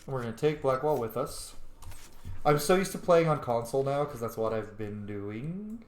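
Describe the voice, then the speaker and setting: A man's voice: medium pitch, animated, normal speed, reverberant. A man giving casual, rambling let's play commentary as he games, with informal language and some swearing. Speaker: gaming video host.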